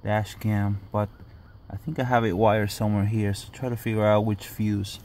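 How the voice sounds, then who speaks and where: Flat, lowish male DIY instructor. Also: moderate pace. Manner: terse step-by-step instructions in short phrases. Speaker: DIY car owner giving a how-to. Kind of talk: informal hands-on tutorial narration. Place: in and beside a parked car, outdoors. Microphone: handheld camera or phone mic. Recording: clear background noise, handheld camera recording.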